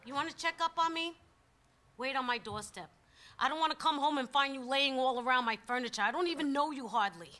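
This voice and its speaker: A woman's voice: sits high, brisk, sharp tone, a little hiss or hum. An actress playing a feisty, suspicious working-class woman on parole in a radio play, with a streetwise New York accent and combative manner. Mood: annoyed, sarcastic. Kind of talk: stage play performed for radio.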